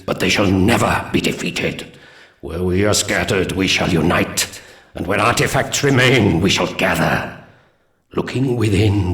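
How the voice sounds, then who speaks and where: A man narrating solemn mission briefings in a game as a proud ancient alien commander, grave and measured. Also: low-pitched, fairly bright tone, reverberant. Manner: grave, measured, archaic phrasing.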